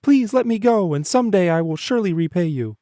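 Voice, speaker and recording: A man voicing a timid little female mouse in a storybook reading, squeaky and pleading. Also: animated, a little fast, warm tone, dry recording, clean studio sound. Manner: squeaky and begging.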